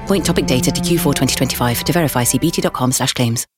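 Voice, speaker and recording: Mid-pitched male corporate voice-over. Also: racing. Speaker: advert voice-over. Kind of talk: scripted corporate advert. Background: music.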